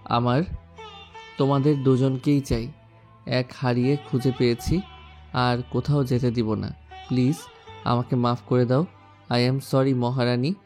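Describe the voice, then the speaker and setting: Guy acting intense lovesick husband in a romantic audio drama, medium pitch, slow dramatic phrasing, soft music under it. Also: level tone, close to an everyday voice. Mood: remorseful. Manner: slow, dramatic, earnest phrasing with English mixed in. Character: intense, lovelorn husband and father, a powerful mayor. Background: background music.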